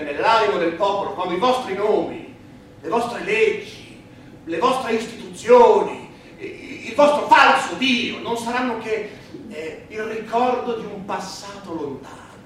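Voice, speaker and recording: An actor playing a defiant, wounded condemned prisoner addressing the court in emphatic theatrical oratory full of repetition. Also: male voice, high-pitched, close to an everyday voice. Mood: defiant, rising. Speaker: stage actor. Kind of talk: theatrical monologue and dialogue in a stage play for radio. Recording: roomy, noisy, echoing distant stage recording.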